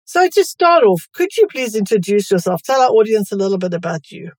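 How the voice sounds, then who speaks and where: Brief: female podcast host, mid-pitched, friendly and clear, close dry mic. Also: sing-song, brisk, a little mellow, no room sound. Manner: friendly, clearly enunciated questions. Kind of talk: scripted introduction and interview questions.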